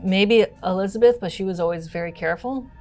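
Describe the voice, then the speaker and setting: A woman's voice: mid-range voice, fast talker, loud music behind the voice, pitched above the performer's own voice, lightly acted. A woman playing a solemn, hesitant young girl survivor in a tabletop horror roleplaying game. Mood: hesitant, matter-of-fact.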